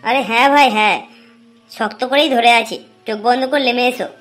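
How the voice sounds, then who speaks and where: Woman voicing a fast-talking, sly petty thief. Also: a little high, a bit bright, faint music behind, close to an everyday voice. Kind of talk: comic cartoon dubbing.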